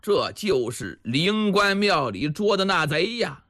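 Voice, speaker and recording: A man voicing a gruff county constable chief, blunt and hearty, in a storyteller's tale. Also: high voice, sing-song, takes it slowly, fairly bright tone, no room sound, studio quality, heavily acted. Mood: puzzled. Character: Lei Heng, gruff male county constable chief, polite but puzzled.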